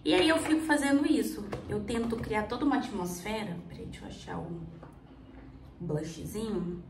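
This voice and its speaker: A woman's voice: medium pitch, moderate pace. A woman in her twenties with a cold, chatting casually to her viewers while she does her makeup, rambling and asking herself questions. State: has a cold. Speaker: vlogger. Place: small indoor room. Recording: roomy, slightly distant mic, faint hum.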